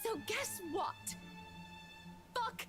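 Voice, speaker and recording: Haughty wronged young wife, very high voice, slow deliberate delivery, game music behind. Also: female voice, lively intonation, exaggerated voice. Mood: defiant anger. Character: proud, wounded, wealthy young wife standing her ground. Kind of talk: dramatic visual novel voice acting.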